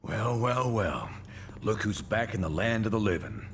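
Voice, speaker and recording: Wry, animated older spymaster. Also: male voice, lowish voice, measured pace, breathy edge. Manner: folksy, wry, insistent. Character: gruff older male spymaster, wry and urgent. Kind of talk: video game cutscene acting.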